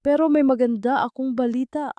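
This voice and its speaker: A woman's voice: high-pitched, fairly even intonation, fairly quick, dry recording, highs cut off, pitched above the performer's own voice, close to an everyday voice. A woman voicing a sweet, ambitious young female receptionist in an audio love story. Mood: excited, happy.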